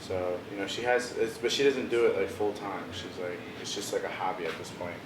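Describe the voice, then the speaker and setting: Young man, low-set voice, relaxed slang-heavy guest, roomy echo with steady background noise. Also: brisk. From Washington DC area. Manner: laid-back, heavy on "bro" and "you know" fillers. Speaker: visual artist, interview guest. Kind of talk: casual interview chat. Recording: echoey room with noticeable background noise.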